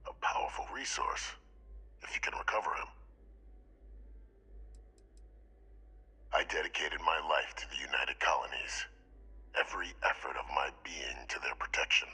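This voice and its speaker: An old military commander from a sci-fi game, calculating and grave. The voice is extremely deep and badly husky, with a hard, tense edge. The delivery is formal, measured and deliberate. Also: male voice, pushed and bright, heavily acted. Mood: solemn, resolute. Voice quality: very raspy, breathy deep voice. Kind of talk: scripted game dialogue.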